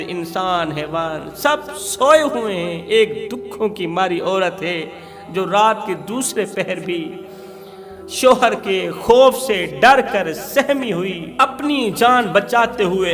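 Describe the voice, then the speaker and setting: High-pitched man preaching in heavy reverb. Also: fairly bright tone. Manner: dramatic, pleading, repeats phrases for emphasis. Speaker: Islamic preacher and storyteller. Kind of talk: impassioned Urdu sermon storytelling. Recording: long reverb, music behind.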